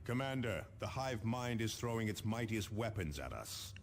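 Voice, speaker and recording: An actor playing a grave military officer, giving formal, dramatic mission briefings in a video game. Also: male voice, on the low side, sing-song, normal speed, background noise. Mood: grave, resolute.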